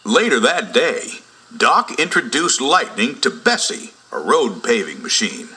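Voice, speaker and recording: A man's voice: mid-range voice, huge pitch swings, unhurried. A man narrating a scripted read-along storybook for children. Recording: loud music behind the voice.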